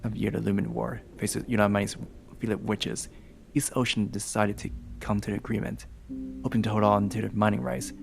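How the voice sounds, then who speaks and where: Low, creaky-voiced man reading game narration. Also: flat delivery, brisk, faint music behind. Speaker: host reading a game aloud with a group.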